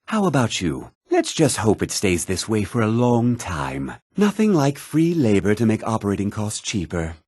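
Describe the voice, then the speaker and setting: Fantasy rogue merchant, self-assured, conversational, husky edge, close dry recording, video game dialogue. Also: male voice, fairly low pitch, wildly swooping pitch, moderate pace, few pauses, a little mellow, no echo, full character voice. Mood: self-assured, casual.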